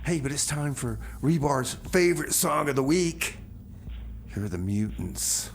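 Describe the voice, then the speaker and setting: A man's voice: on the high side, expressive, unhurried, gravelly, a little hiss or hum. A man hosting a radio music show in a laid-back, rambling way, often repeating himself. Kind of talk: casual radio chat. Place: radio studio.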